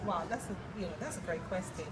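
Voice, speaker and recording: A woman from Jamaica, an interview guest, telling her story with expressive, animated delivery. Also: lowish voice, quick pace. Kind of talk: emotional personal testimony. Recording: distant mic with loud crowd behind.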